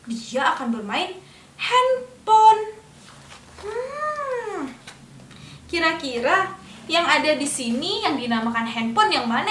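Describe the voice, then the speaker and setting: A young woman telling a picture-book story to small children, in a slow sing-song way, stopping to ask them questions. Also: extremely high pitch, pushed and bright, recorded from a distance, slight background noise. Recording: echoey room, a bit off-mic.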